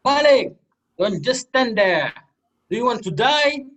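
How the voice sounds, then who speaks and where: A man plays an agitated student in a stage reading. The voice is high and swings sharply, with words dragged out slowly. The delivery is loud, shrill and accusing. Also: sing-song, exaggerated voice. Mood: bossy scolding. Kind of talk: amateur reader's theater acting.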